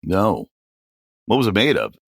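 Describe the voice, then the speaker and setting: A man's voice: fairly low pitch, wildly swooping pitch, unhurried, gravelly, breathy edge, dry recording, clean studio sound. A man in his forties co-hosting a podcast, joking bluntly and swearing casually. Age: 40s. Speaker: podcast co-host. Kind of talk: casual chat.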